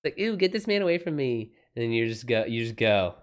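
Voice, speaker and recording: A man's voice: mid-range voice, sing-song, fairly quick. A man talking casually as a livestream host, informal and slangy, swearing now and then.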